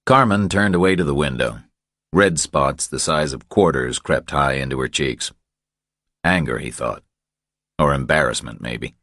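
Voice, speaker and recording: Very deep-voiced male audiobook narrator. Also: unhurried, fairly bright tone, dry recording, clean studio sound. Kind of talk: scripted thriller narration.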